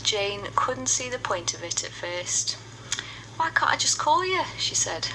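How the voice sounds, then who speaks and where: A woman's voice: mid-range voice, big pitch swings, a little slow, bright, forceful tone, poor audio. A woman giving a chatty, playful and wry testimonial in a phone video message. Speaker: app user giving testimonial. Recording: noticeable background noise, phone video message.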